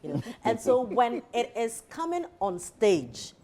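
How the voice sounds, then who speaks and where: A Ghanaian woman hosting a talk show, chatting in an animated, emphatic, conversational way. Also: fairly high pitch, measured pace, a crowd in the background. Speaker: morning show host. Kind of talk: live talk show interview, chatty introduction.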